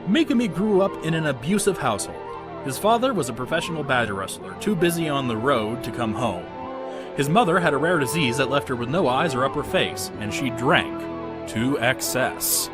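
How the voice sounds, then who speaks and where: A man's voice: mid-range voice, expressive, normal speed, close to an everyday voice. A man playing a smug, mocking narrator of a cartoon comedy documentary, grandiose and sardonic. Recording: music behind the voice.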